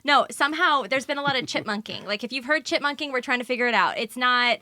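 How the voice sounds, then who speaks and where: A woman's voice: high voice, fast talker, sharp tone, no room sound. A woman hosting a podcast panel, chatty and playful. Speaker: podcast host. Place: studio.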